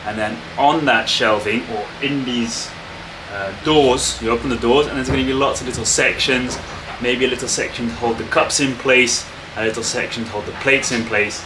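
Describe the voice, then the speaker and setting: A man in his thirties casually explaining his boat restoration to a handheld camera, relaxed and full of little fillers. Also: medium pitch, moderate pace, background noise. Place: open-sided wooden workshop. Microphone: handheld camera at arm's length.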